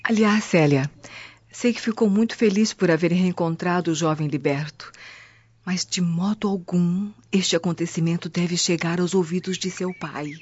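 A woman's voice: on the low side, normal speed, a little mellow, slightly rough, no echo. An actress playing a devout, cautious Roman mother, grave and motherly, in a radio drama. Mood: cautioning. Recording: muffled lo-fi audio with music behind.

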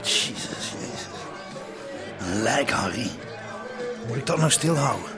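A compromised, uneasy policeman in a radio play. He talks tersely and grimly in a mid-range voice. Music is clearly present behind him. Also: mid-range voice, expressive, unhurried, a crowd in the background. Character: weary, uneasy policeman mixed up with criminals. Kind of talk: naturalistic radio drama acting.

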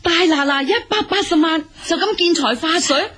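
A woman's voice: extremely high pitch, normal speed, pushed and bright. An actress playing a fussy, practical middle-aged mother in a radio drama, exclamatory and sighing, in Hong Kong Cantonese. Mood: scolding, dismayed.

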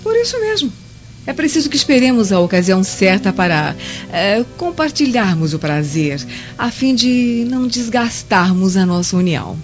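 An actress playing a haughty, sharp-tongued Roman wife in a radio drama, indignant and imperious. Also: female voice, mid-range voice, sing-song, normal speed, close to an everyday voice. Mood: haughty, refusing. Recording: muffled lo-fi radio drama, music underneath.

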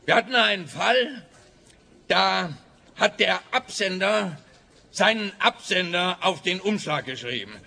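A man acting a chatty, self-satisfied, bumbling police detective in a comic radio play, rambling pompously and haltingly. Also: high voice, expressive, gravelly, sharp tone, full character voice. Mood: self-important.

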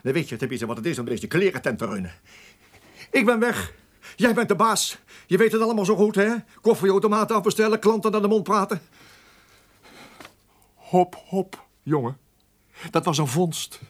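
Angry sarcastic office boss in a radio play, husky, pitch jumps all over, stammers when ranting. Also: male voice, expressive, moderate pace, long pauses. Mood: furious sarcasm. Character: blustering, exasperated, sarcastic male advertising agency boss.

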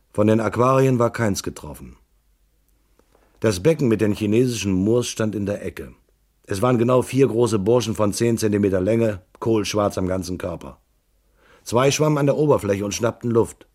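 A man's voice: lowish voice, a little mellow. A man playing a tough private detective who narrates a hard-boiled radio crime drama tersely and matter-of-factly. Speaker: first-person narrator.

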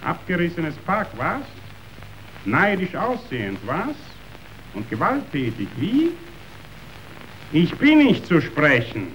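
A man's voice: fairly high pitch, wildly swooping pitch, takes it slowly, long pauses, a bit bright, a little hiss or hum, heavily acted, poor audio. A man acting a domineering, scornful meat tycoon in a verse radio play, declaiming theatrically.

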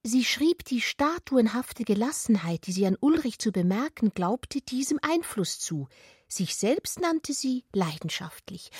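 A woman reading literary prose for a radio production, with intimate, expressive, deliberate phrasing that often falls to a whisper. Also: fairly high pitch, dry recording, studio quality. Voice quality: breathy, often falling to a whisper. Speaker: narrator of a radio literary adaptation. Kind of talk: literary scripted narration. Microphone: close studio mic, dry.

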